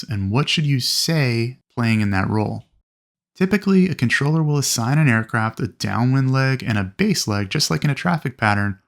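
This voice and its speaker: Creaky-voiced man giving methodical instruction. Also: mid-range voice. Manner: clear, methodical explaining. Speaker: flight instructor presenting a training video. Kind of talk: instructional narration with acted radio calls.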